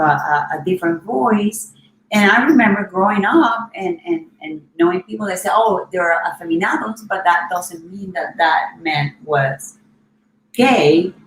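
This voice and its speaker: A woman's voice: medium pitch, a little hiss or hum. A woman hosting an interview, earnest and affirming, often echoing her guest's words. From Latin America. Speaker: interview host. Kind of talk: conversational interview.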